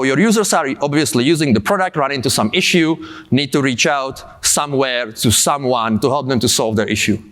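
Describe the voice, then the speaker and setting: A man's voice: medium pitch, a bit bright. Czech-born man in his 30s with a cold; casual, filler-heavy conference speaker. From Czech Republic. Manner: casual, many fillers and asides. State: has a cold. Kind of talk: tech conference talk.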